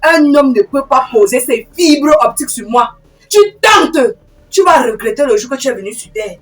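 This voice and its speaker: A woman playing a defiant, sharp-tongued wife and strict stepmother in a heated domestic drama, combative and taunting. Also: high-pitched, lively intonation, normal speed. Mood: threatening. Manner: combative, taunting, talks back. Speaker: actor. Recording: roomy echo, distant mic, music.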